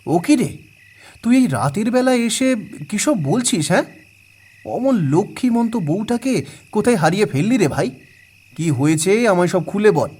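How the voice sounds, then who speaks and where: A man's voice: high voice, expressive, normal speed, a little mellow, slightly rough. An actor playing a concerned young village man in a cartoon, scolding like a friend. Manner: admonishing. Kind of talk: Bengali cartoon dialogue.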